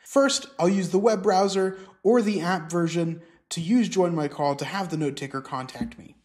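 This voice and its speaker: A middle-aged man presenting scripted instructional narration, explaining clearly step by step. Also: high-pitched, normal speed, a little mellow. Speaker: software tutorial presenter.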